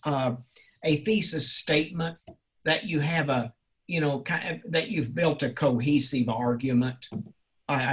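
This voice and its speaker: A male college instructor talking informally with a class in a halting, rambling, encouraging way. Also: fairly high pitch, a bit bright, breathy edge. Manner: encouraging, reassuring. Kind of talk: informal class question-and-answer.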